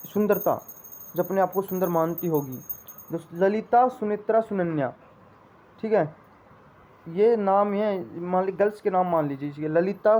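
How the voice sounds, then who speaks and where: A man's voice: high voice, dark tone. A man teaching an exam-preparation class in an instructional style, explaining in a repetitive, drilling way. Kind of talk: instructional lecture with memory tricks. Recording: dull lo-fi audio.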